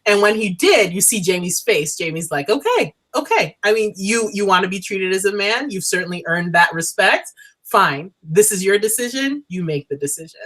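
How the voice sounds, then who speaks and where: Woman co-host, lively swooping pitch, emphatic chatty review talk. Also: normal speed. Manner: animated, emphatic, restates points. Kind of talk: casual review chat.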